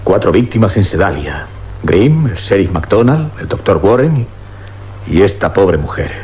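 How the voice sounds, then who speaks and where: Grave, lowish-voiced police sergeant. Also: male voice, sing-song, moderate pace. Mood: shaken. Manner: solemn, dramatic. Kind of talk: radio drama acting. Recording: dull radio recording, clear background noise.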